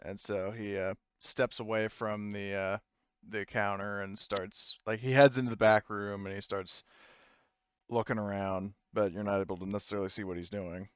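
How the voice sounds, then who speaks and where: A man narrating a tabletop game as game master in a casual, halting, improvised way, on a slightly dull mic. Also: on the low side, little pitch movement, clear, resonant tone, no echo.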